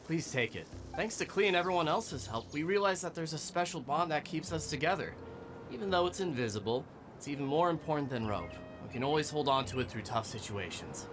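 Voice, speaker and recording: A man voicing an earnest, grateful young adventurer in a video game, speaking sincerely and gently. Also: a little high, animated, brisk, over music, natural voice. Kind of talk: English video game voice acting.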